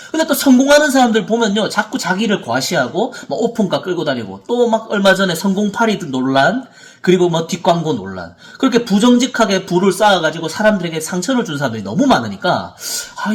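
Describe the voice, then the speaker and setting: Expressive man in his thirties, motivational seminar. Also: high voice. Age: 30s. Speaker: motivational speaker, author and coach.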